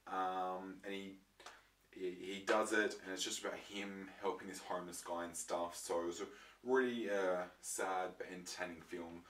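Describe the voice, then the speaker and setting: A young man with a croaky voice from a throat infection, chatting casually to his viewers with lots of ums and restarts. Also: low-pitched, steady tone, moderate pace, a bit bright. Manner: rambling, many ums and restarts. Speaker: vlogger showing his disc collection. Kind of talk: casual chat to camera. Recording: roomy, mic far off, faint noise.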